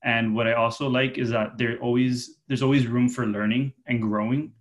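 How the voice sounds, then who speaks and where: A man's voice: medium pitch, little pitch movement, normal speed. A man in his twenties giving informal, rambling interview answers as a guest, often correcting himself. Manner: informal, enthusiastic wording, rambling with self-corrections. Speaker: interview guest, junior publicity manager.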